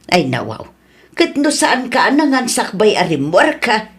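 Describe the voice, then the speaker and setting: A woman's voice: mid-range voice, sing-song, a little slow. A woman with an Ilocano accent playing a chatty, advice-giving village wife in a radio comedy drama. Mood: concerned, advising.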